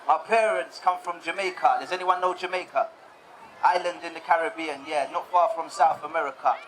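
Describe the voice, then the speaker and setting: A young man raised in the United Kingdom, giving a relaxed personal testimony talk to an audience, often repeating words as he goes. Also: fairly high pitch, fairly quick, slight background noise, poor audio.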